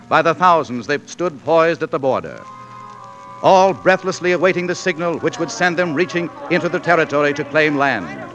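An actor playing a solemn, stirring narrator of frontier history, declamatory in 1940s radio drama style. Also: male voice, a little high, a bit bright, muffled recording. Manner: grand, declamatory. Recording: vintage radio broadcast over music.

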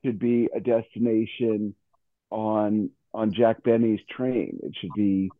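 A man's voice: on the low side, fairly even intonation, slow pace, no echo. A man chatting casually in a conversational, chuckling manner, like a radio show host. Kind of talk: casual chat. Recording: narrow phone-like radio line.

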